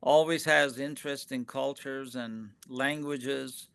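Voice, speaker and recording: A man's voice: medium pitch, steady tone, takes it slowly, vocal fry, fairly bright tone, clear, resonant tone, no echo. A man whose first language is Persian, hosting an interview in a friendly, hesitant way with many fillers. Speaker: interview host. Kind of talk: interview conversation.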